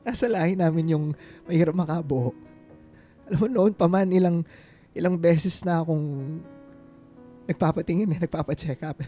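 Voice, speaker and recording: An actor voices a devoted, vulnerable Filipino man in a radio romance drama. His voice is soft-edged and mellow, pitched a little high for a man. He speaks haltingly and earnestly, with feeling, over faint music. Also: lightly acted. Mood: pained, confessing. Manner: halting, earnest, emotional delivery. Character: devoted, vulnerable man confessing love to his reunited sweetheart.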